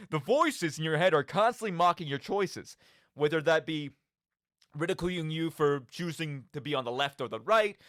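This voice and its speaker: A man with a slightly high voice and very animated, swooping intonation presents a games commentary show. He is theatrical and talks straight to the listener, throwing out rhetorical questions. The sound is close and dry. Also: no room sound. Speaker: podcast host. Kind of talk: radio monologue, commentary on video games.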